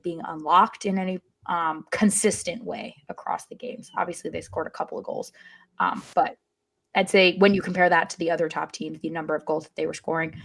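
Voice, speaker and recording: A woman giving casual sports analysis as a podcast panelist, rephrasing as she goes. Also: lowish voice.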